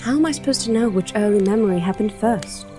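A woman's voice: mid-range voice, quick pace, natural voice. Actress as wistful young princess in an audio drama, grieving and bitter, faint music under her. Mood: guarded. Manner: wistful, introspective. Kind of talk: audio drama acting with introspective narration.

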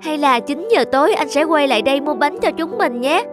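A woman voicing a kind, protective older boy in a cartoon, speaking gently and earnestly. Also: high-pitched, animated, a little fast, over music. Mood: earnest, concerned. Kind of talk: cartoon voice acting.

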